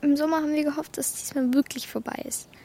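A woman's voice: high voice, fairly even intonation, fast, warm tone. A woman playing Martha, a bold, blunt young girl carrying grief, who talks in colloquial slang in a children's radio play. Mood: bitter grief.

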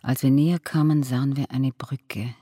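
A man performing as a brooding narrator of an eerie journey, with deliberate, drawn-out phrasing in an experimental radio play. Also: medium pitch, steady tone, dark tone, dry recording, clean studio sound, natural voice. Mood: ominous, intent. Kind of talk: dramatic literary recitation in an experimental radio play.

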